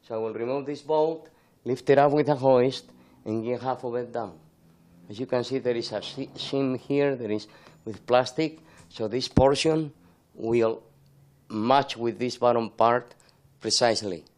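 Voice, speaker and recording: An older man with a Spanish accent speaks as an interviewed artist, laying out how his work is done. His voice sits at an ordinary male pitch. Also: measured pace, darkish tone. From Spain, born in Andalusia, grew up in Madrid. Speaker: sculptor being interviewed.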